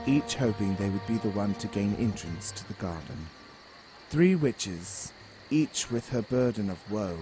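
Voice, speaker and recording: A man's voice: lowish voice, low-quality recording. A man giving dramatic scripted narration of a fairy tale for an audio drama. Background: music.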